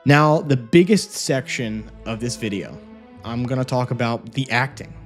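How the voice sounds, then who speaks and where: A man's voice: medium pitch, expressive, normal speed. A man giving an enthusiastic, casual review as a vlog host, talking into a microphone in a home office. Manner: enthusiastic, gushing. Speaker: audiobook review video host. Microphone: separate microphone with phone camera. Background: music.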